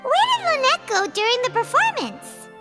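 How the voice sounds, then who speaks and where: A woman voicing a small, excitable, childlike fairy companion in a video game, with a squeaky voice and a cheeky, exclaiming manner. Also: moderate pace, over loud music. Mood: chirpy. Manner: refers to herself by name, exclaiming. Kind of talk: animated video game voice acting.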